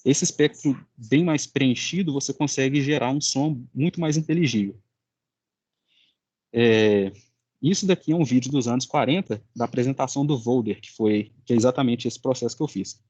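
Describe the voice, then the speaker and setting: Young male Brazilian student presenter: husky, informal, halting, very dry close sound. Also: medium pitch, darkish tone, no room sound. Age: young adult. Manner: halting, searching for words. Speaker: student presenting final project.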